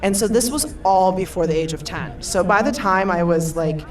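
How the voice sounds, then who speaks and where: A woman in her thirties, from Eastern Europe, telling a personal story as a panelist in an animated, storytelling way. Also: on the low side, moderate pace. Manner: animated storyteller, laughs often. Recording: far from the mic, crowd behind.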